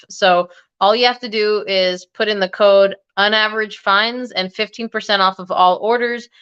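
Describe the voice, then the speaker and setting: A woman's voice: mid-range voice, fairly bright tone, clear, resonant tone, muffled recording. A woman whose first language is Arabic, chatting casually on a live stream and rambling through fillers and small laughs. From United States, Egyptian family. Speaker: guest fragrance creator.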